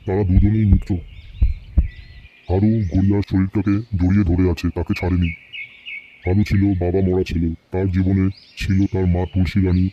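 Guy doing an old cartoon servant, lowish voice, grave spooky storyteller, dull lo-fi sound. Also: little pitch movement, moderate pace, dark tone, a little hiss or hum, lightly acted. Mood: sombre. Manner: grave, storytelling, Hindi-mixed Bengali wording. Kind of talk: animated cartoon horror storytelling.

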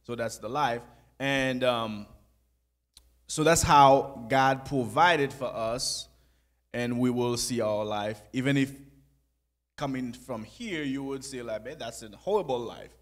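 A young man telling his life story in a church sanctuary, speaking softly into a handheld mic with pauses and easy laughs. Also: medium pitch. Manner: soft-spoken, halting, laughs easily. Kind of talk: conversational testimony.

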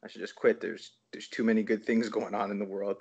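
Creaky, low-voiced man reading poems. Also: level tone, brisk, darkish tone. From Toronto, Canada. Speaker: poet reading own work. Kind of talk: poetry reading. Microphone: video call. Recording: dull lo-fi video call audio.

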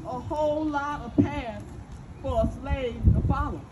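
An actress playing an enslaved woman who recounts solemnly and haltingly in an outdoor staged reading. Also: high-pitched, heavy background noise. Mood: solemn, bitter. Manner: slow, halting, emphatic storytelling. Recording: outdoor stage, loud crowd sounds.

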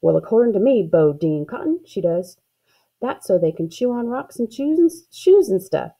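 A woman's voice: medium pitch, sing-song, normal speed, dark tone. A woman voicing an ornery young country boy in a storybook, a teasing know-it-all with a matter-of-fact, folksy manner.

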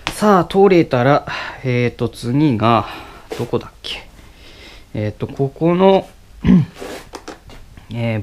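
A young man talking casually as he works on his motorcycle in a cluttered garage, filmed with a head-mounted camera. He talks haltingly with many fillers and mutters to himself mid-task. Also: mid-range voice, a little mellow, slight background noise. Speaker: motovlogger. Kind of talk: casual how-to commentary while working. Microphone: head-mounted action camera.

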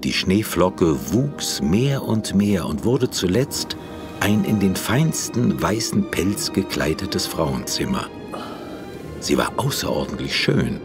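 An actor narrating a fairy tale audio drama in a measured, expressive, literary manner. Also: male voice, sits low, heavily acted.

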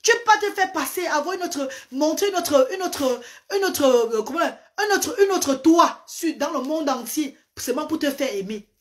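A woman's voice: high-pitched, animated, moderate pace, few pauses. A woman, a Medumba speaker, giving a fervent, preacherly live-stream address full of emphatic repetitions. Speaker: live-stream host and parent advocate. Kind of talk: impassioned social-media live address. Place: small indoor room, seated on a sofa. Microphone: phone camera at close range.

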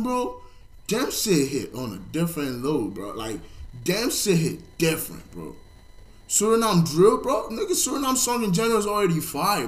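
A young man in his twenties reacting to music from his bedroom, loud and excitable, full of slang, swinging between shouting and muttering. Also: sits high. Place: bedroom, indoors, gaming chair.

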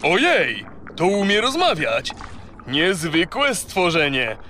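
A proud, gracious king of the sea in a children's audio play. His delivery is slow, theatrical and courtly, with a forceful bright tone. Water noise sits faintly behind him. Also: on the high side, huge pitch swings, exaggerated voice. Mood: courtly, curious.

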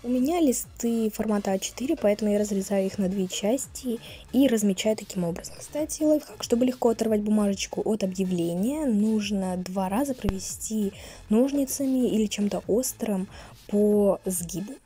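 A teenage girl narrating a craft vlog in a casual way, her voice hoarse from a cold. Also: mid-range voice, normal speed, dark tone. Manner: casual, chatty. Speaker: vlogger. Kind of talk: voice-over narration.

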